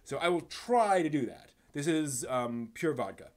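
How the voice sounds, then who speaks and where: Halting, casual male live stream host. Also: on the high side, animated, a little mellow. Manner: halting, rambling, stumbles over words. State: tired. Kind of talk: casual live stream chat, answering chat questions.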